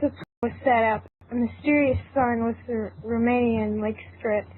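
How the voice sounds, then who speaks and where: A woman's voice: fairly high pitch, steady tone, normal speed. A woman reading scripted narration for an amateur fan fiction audio drama. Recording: tinny phone-like audio, clearly noisy.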